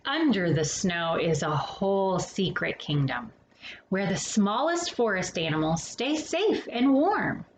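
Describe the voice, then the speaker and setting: Animated, unhurried woman reading a storybook aloud. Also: medium pitch. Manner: animated, dramatic pauses between phrases. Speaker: storytime host reading a children's picture book. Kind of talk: expressive read-aloud with casual asides. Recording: roomy echo with faint background noise.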